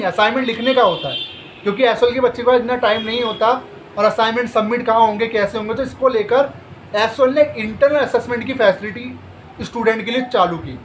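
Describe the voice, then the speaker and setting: A man's voice: very high voice, a little hiss or hum, poor audio. A man hosting an education channel, explaining things to students in a direct, reassuring way with rhetorical questions.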